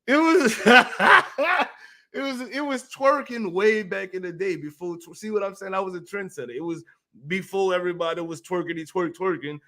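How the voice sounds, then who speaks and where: A man's voice: fairly high pitch, bright, forceful tone. A man in his thirties hosting his channel, telling stories to camera casually, chatty, laughing often and repeating himself. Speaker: channel host.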